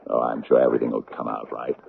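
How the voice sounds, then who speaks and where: Very deep, gravelly haggard father. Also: little pitch movement, fast talker, full character voice. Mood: mild, reassuring. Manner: faltering, repetitive, hesitant. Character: guilt-ridden, frightened, haggard older father. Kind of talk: 1970s radio drama acting. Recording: muffled, noisy old radio broadcast.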